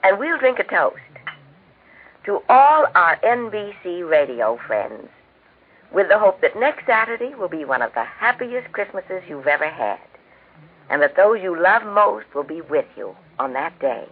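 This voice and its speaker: An American woman with a genteel, measured, instructive manner, speaking scripted homemaking talk as host of a 1940s radio cooking show. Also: fairly low pitch, big pitch swings, slightly rough. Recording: tinny band-limited 1940s radio recording.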